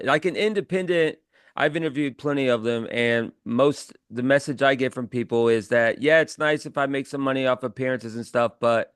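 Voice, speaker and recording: A man's voice: mid-range voice, smooth, no room sound, studio quality. A man hosting a podcast interview, talking in a loose, rambling way full of fillers. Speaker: podcast host.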